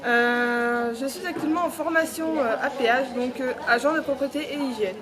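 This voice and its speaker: High-voiced young woman. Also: normal speed, crowd noise. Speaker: trainee cleaner. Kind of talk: interview.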